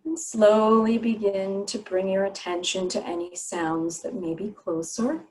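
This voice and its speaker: A woman leads a guided relaxation practice as a meditation teacher. Her mid-pitched voice gives soothing instructions in short, unhurried phrases, leaving pauses between them. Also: steady tone, darkish tone, poor audio. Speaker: yoga nidra meditation teacher.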